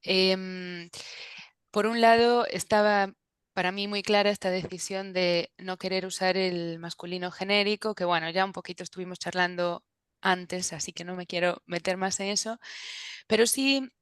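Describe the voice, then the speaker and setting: A woman's voice: lowish voice, steady tone, normal speed, smooth, no room sound. A woman from Galicia, a native Galician speaker with a Galician accent, answering thoughtfully in an interview and often searching for words. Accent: Galician Spanish. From Galicia, Spain. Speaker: translator.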